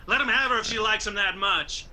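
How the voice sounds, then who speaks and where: A man's voice: high-pitched, fairly quick, background noise, lightly acted. A man playing a level-headed mountain brother with a Southern US accent in a radio drama. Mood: exasperated, restraining.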